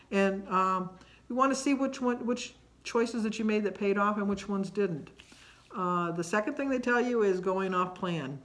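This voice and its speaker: Female vlogger, late 60s, mid-pitched voice; chatty, unscripted, rambling and self-deprecating. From United States. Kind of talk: casual personal vlog talk about daily life.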